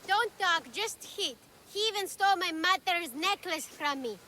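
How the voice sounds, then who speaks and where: Scrappy street-kid thief girl, very high, slow, bright and blunt. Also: lively intonation, heavily acted. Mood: defiant. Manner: defiant, blunt.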